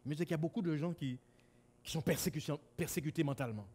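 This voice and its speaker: A man from Guadeloupe preaching a sermon to a congregation in a church hall, swinging between loud exclamations and low asides. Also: fast. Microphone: camera-recorded.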